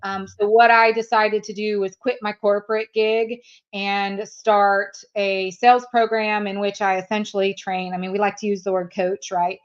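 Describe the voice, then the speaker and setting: A woman's voice: medium pitch, level tone, normal speed, clean tone, dull audio. A woman in her fifties, a native German speaker, chatting candidly as a sales trainer guest and laughing often. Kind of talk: casual interview chat.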